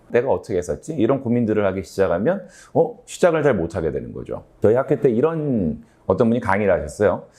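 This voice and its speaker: A man's voice: mid-range voice, a little mellow. A man speaking as a doctor hosting an explanatory health talk, conversational, often posing questions and answering them himself. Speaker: ENT doctor and sleep-health show host.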